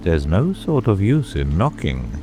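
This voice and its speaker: A man playing a solemn, stolid, dim frog footman with drawn-out speech in a storybook dramatic reading. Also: low-pitched, wildly swooping pitch, music in the background, heavily acted. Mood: stolid, unbothered. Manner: slow, drawn-out, solemn delivery.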